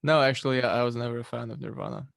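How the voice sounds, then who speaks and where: A young man from Poland, a musician guest, answering interview questions in a relaxed, rambling way with lots of fillers. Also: mid-range voice, rapid-fire, gravelly, dry recording, clean studio sound.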